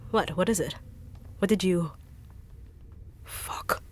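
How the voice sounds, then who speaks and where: A woman's voice: on the low side, slow pace. A woman voice actor performing a no-nonsense character in an acted audio roleplay, blunt and sarcastic.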